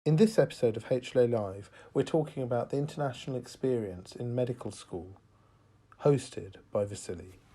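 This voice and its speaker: Man, scripted announcer narration, mellow relaxed voice, middle pitch. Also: normal speed. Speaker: programme announcer.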